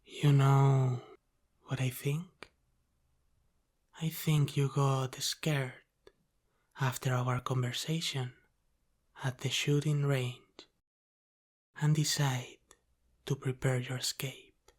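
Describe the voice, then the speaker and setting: Very slow, hushed Italian-American mafia boss, acted by a man. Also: medium pitch, little pitch movement. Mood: cool, suspicious. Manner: deliberate, halting, teasing, often dropping to a whisper. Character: teasing, menacing Italian-American mafia boss, calm and controlling. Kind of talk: slow ASMR roleplay, intimate.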